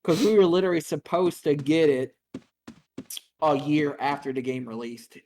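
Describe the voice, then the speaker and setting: A man in his thirties streaming a game and chatting with friends, excitable and quick to swear and laugh. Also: on the high side. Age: 30s. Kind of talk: casual gaming stream chat. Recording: game noise clearly behind.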